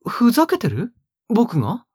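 A male actor playing a possessive young captor in an audio drama, coaxing and teasing in a soft, breathy voice as if talking to a pet. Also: extremely high pitch, huge pitch swings, a little slow, no room sound, clean studio sound, exaggerated voice. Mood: mock concern. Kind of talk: intimate Japanese audio drama acting.

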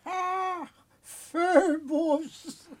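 An older man playing a kindly, muddle-headed, childlike eccentric gentleman in a radio drama, husky and confiding, speaking haltingly. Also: very high voice, steady tone, no echo, heavily acted. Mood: giddy, confiding. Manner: chuckling, halting, confiding, rambling. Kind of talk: 1960s German radio drama acting.

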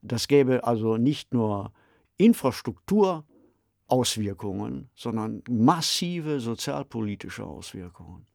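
Creaky-voiced male podcast co-host. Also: mid-range voice, very animated, slow pace, a little mellow, no room sound, studio quality. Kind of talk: conversational discussion.

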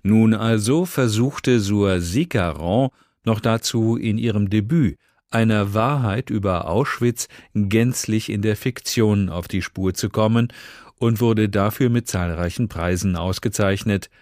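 A male radio presenter with a lowish voice reads a scripted cultural introduction. The sound is dry and close, with no room echo. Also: unhurried, a little mellow.

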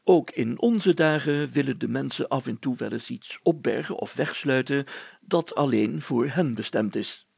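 A man's voice: mid-range voice, moderate pace, gravelly, darkish tone, husky, dry recording. A man voicing a pompous, easily flustered older mayor in a children's story, stammering and over-articulating as he goes. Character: fussy, flustered, pompous older male mayor reading aloud. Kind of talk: children's audio story character voice.

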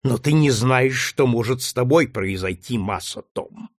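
A man voicing a cruel, brutal male plantation master who shouts and mocks. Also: on the high side, big pitch swings, normal speed, dry recording, clean studio sound, voice pushed up. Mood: menacing. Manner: harsh, shouting, mocking.

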